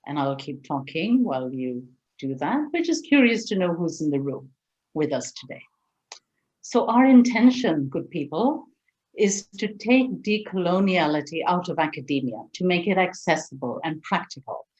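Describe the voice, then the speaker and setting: A middle-aged woman who grew up in India, presenting an online webinar over a video call in a measured, step-by-step way. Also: deep voice, darkish tone, muffled recording.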